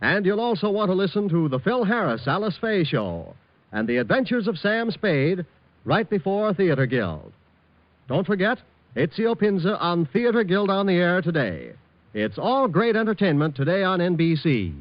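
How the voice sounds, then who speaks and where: A male mid-century radio promo announcer with a very high voice for a man. His intonation is lively, and the old recording sounds dull and dry. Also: moderate pace, no echo.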